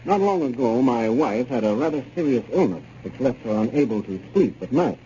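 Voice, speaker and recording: An American man reads a 1930s radio testimonial. His voice is soft-toned and moves animatedly up and down. The sound is dull, with light hiss behind it. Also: mid-range voice, lively intonation, a little fast. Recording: muffled, hissy 1930s radio recording.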